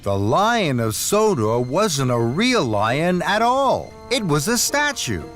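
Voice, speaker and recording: A man narrates a children's story with expressive, very varied pitch. His pace is measured and unhurried, and music plays clearly behind him.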